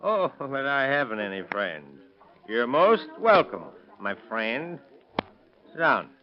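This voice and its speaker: An actor playing a friendless, bitter murder suspect who drinks and puts on literary airs, effusive and mockingly polite in 1930s radio style. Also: male voice, medium pitch, big pitch swings, slow. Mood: mocking welcome. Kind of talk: 1930s radio crime drama acting. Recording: dull lo-fi vintage radio recording.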